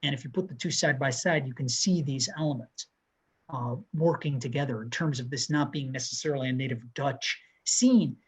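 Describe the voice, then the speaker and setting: A man in his thirties co-hosting a museum talk over a video call, walking viewers through artworks in a measured, descriptive way. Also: medium pitch, husky. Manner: measured, descriptive, pointing out details. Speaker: museum co-host presenting artworks. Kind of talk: art history talk over slides. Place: room with bookshelves.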